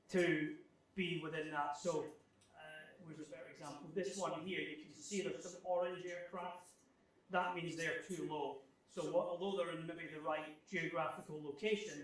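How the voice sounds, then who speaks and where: A man giving an informal technical presentation, explaining things in a halting, thinking-aloud way as he answers questions. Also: fairly high pitch, fairly bright tone. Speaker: guest speaker giving a technical club talk. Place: club meeting room, live talk. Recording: echoey room, distant microphone.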